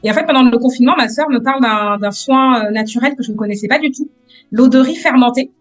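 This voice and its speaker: A woman in her thirties from France, a guest entrepreneur answering interview questions with many hesitant pauses. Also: fairly high pitch, faint music behind. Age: 30s. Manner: hesitant, many "euh" pauses, soft-spoken.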